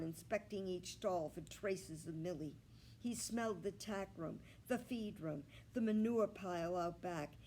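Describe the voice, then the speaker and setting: A woman reading a children's story aloud in an expressive narrating style, as a storytime reader. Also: fairly low pitch, a little hiss or hum, low-quality recording.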